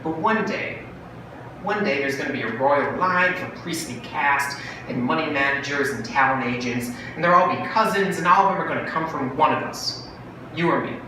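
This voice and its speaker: A man voicing a fast-talking, wheedling brother pitching a bargain in a dramatic monologue from a short story. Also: natural voice. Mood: earnest, bitter. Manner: salesman-like, cajoling, animated. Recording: very echoey room, distant mic, noisy.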